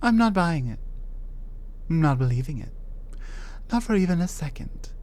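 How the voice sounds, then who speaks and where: A woman's voice: low voice, sing-song, moderate pace, darkish tone. A woman voicing a territorial, menacing female troll who taunts a captive in an ASMR roleplay. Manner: contemptuous, possessive, taunting.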